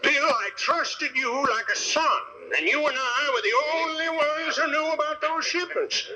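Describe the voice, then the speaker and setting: An actor playing an earnest, respectable small-town banker with an American accent in a western radio drama. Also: male voice, very high voice, measured pace, bright, forceful tone, lo-fi sound, full character voice. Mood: dismayed. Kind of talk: old-time western radio drama acting.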